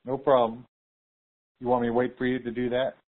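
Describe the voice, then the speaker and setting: A man's voice: mid-range voice, flat delivery, a little fast. A man calling in to a conference call, chatting casually in a polite, hesitant, tentative way. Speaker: caller. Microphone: phone. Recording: narrow tinny phone line.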